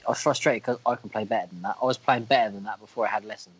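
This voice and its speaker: Croaky-voiced man chatting casually. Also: lowish voice, steady tone, racing, rough recording. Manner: chatty, self-deprecating, a bit crude. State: croaky voice from a cold. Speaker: podcast co-host. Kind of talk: casual chat.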